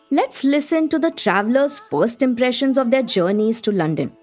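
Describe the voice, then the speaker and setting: Early 1900s indian lady magazine editor hosting a radio play, formal, highish voice, bit of music under. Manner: formal, measured storytelling. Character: gracious Indian magazine editor hostess, early twentieth century. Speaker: host. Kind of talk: scripted radio play narration.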